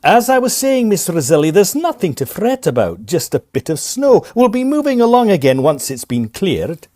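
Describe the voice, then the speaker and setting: A man's voice: high voice, very animated, moderate pace, warm tone. A man playing a cheerful, folksy, reassuring Victorian train conductor in a farcical audio drama. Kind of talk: audio drama farce acting.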